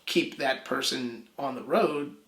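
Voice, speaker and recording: A man's voice: medium pitch, fairly bright tone, a little hiss or hum. A man, a musician guest, talking casually in an interview, stumbling and restarting often. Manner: halting, many restarts. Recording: echoey room.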